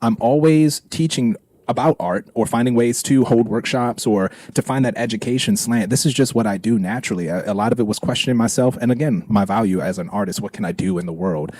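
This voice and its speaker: A man talking reflectively and casually as an interview guest, expressive and emphatic, circling around his phrases. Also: medium pitch, fairly quick, no echo. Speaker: interview guest, performing artist.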